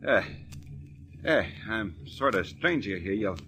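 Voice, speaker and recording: An American actor playing a tipsy, boastful, folksy crook with a rambling manner in a 1940s radio drama. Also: male voice, lowish voice, lively intonation, slow pace, bright, forceful tone, exaggerated voice. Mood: tipsy, jovial. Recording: vintage radio broadcast.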